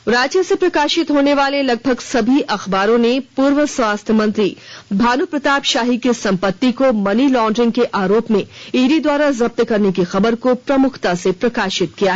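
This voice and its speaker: A woman reading a scripted radio news bulletin in a formal, measured announcer delivery. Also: fairly high pitch. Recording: dull, band-limited radio broadcast.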